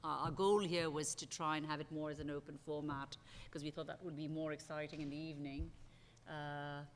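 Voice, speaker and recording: A woman with a low voice introduces speakers at an academic panel, talking loosely and often restarting her sentences. She speaks into a table microphone on a big auditorium stage, and the sound carries a long hall reverb. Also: flat delivery, fairly quick, slight background noise. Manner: conversational, with frequent restarts and "uh"s. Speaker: session chair. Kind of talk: panel moderation at an academic conference.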